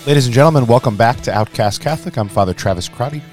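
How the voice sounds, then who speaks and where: A hoarse man, a priest co-hosting a podcast in rambling casual chat. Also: mid-range voice, rapid-fire, soft background music. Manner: rambling, storytelling with laughs.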